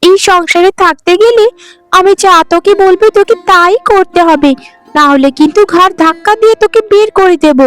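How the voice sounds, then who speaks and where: A woman voicing a spoiled, bullying young stepsister in a melodramatic cartoon, shrill and threatening. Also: fairly quick, fairly bright tone, no room sound, faint music behind. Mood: furious, threatening.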